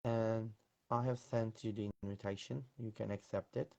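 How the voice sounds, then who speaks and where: A man's voice: fairly low pitch, fairly even intonation, normal speed, vocal fry, dark tone. A man with a Turkish accent hosting a casual live online interview, speaking politely and full of thanks in repetitive phrases. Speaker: host of an online teacher talk series. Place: online video call live stream. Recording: dull, lo-fi live-stream audio.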